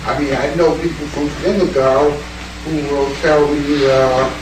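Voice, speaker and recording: A man's voice: on the high side, steady tone. A man from the audience speaking in an earnest, searching way during a Q&A in a lecture hall. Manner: slow, earnest, searching for words. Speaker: audience member asking a question. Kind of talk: Q&A comment from the floor. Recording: echoey hall, distant mic, noisy.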